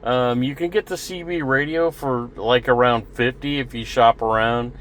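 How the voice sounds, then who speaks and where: A man's voice: mid-range voice, fairly even intonation, moderate pace, fairly bright tone, a little hiss or hum. An American man in his sixties giving a casual, unscripted product review in a rambling, folksy, plain-spoken way. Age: 60s.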